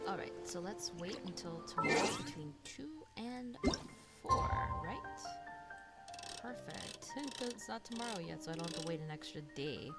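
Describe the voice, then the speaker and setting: A woman's voice: on the low side, lively intonation, normal speed. A woman giving casual, giggly commentary while she plays a game. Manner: giggly, informal, reads some lines aloud. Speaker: streamer reacting to a game. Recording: loud game music behind. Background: video game music.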